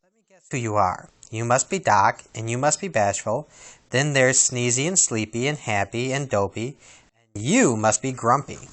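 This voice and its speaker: A man voicing a sweet, gentle young storybook princess with an innocent, trusting manner. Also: mid-range voice, moderate pace, natural voice. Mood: friendly. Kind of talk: storybook character voice.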